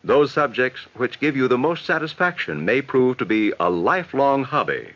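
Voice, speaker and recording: An American man reading scripted, instructive narration in a measured, advising way for a 1960s educational film. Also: mid-range voice, big pitch swings, fairly bright tone. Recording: dull vintage 1960s film soundtrack.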